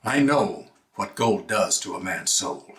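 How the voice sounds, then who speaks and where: An actor playing a seasoned, world-weary gold prospector in an emphatic, cautionary stage monologue. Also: male voice, low-pitched, lively intonation, unhurried, a bit bright. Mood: grave, worldly. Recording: echoey room.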